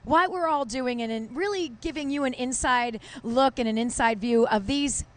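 Female TV field reporter, middle-aged, high voice, effusive and chatty, live remote on a handheld mic. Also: normal speed. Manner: gushing, effusive. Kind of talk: live on-location interviewing, chatty. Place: outdoors at a fire station. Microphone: handheld reporter microphone.

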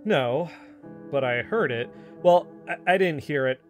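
A man playing an agitated amateur investigator, halting and insistent, in a conversational audio drama. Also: on the high side, animated, faint music behind.

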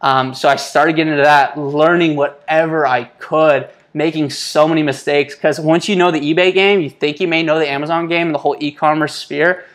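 A man's voice: medium pitch, fairly bright tone. A man in his twenties talking informally and with slang to his viewers, like a motivational entrepreneur vlogger. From born in Israel. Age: 20s. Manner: informal and slangy, often addressing listeners as guys. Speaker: online entrepreneur, YouTuber and mentor. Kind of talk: casual motivational vlog talk to camera. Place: small home office, indoors. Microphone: lapel mic.

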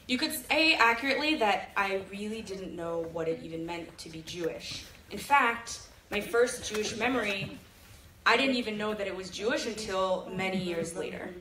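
A woman's voice: medium pitch, normal speed, crowd noise. A young woman from California giving a chatty, joking stage talk into a handheld mic in a large auditorium. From Sacramento, California. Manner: chatty, jokey, self-deprecating storytelling. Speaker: presenter. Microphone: handheld mic filmed from the audience. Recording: echoey hall, audience phone recording.

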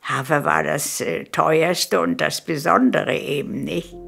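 A woman of about 100 from Königsberg in former East Prussia, reminiscing in an unhurried, halting way in a documentary interview. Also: low-pitched, vocal fry, a bit bright. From Königsberg, East Prussia, Germany. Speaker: documentary interviewee, eyewitness recalling her life. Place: furnished living room, indoors.

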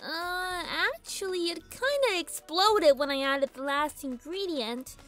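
A woman's voice: very high-pitched, unhurried, a bit bright. A woman voicing a bratty, scheming little filly villain in a whiny, theatrical manner for a dramatized story reading. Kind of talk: dramatized fan fiction reading. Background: background music.

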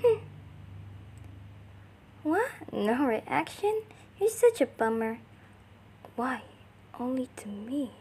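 A woman's voice: sits high, big pitch swings, takes it slowly, long pauses, darkish tone, a little hiss or hum. A woman doing an amateur comic dub, acting out short character lines theatrically in switching voices.

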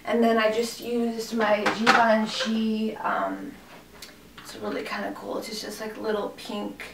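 A woman in her thirties, hoarse from a cold, chatting casually and gushing about clothes on camera in a small room, with lots of fillers. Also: a little high, completely flat delivery, a little slow, noisy background. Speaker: fashion vlogger. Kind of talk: casual clothing haul chat. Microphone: camera mic from a few feet away. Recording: echoey room, mic at a distance.

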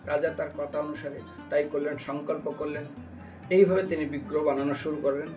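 A man's voice: on the high side, a little fast. A man giving a devotional lecture as a story, acting out dialogue and putting questions to his listeners. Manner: animated retelling with acted dialogue and questions to listeners. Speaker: religious preacher and storyteller. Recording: distant mic, music behind the voice.

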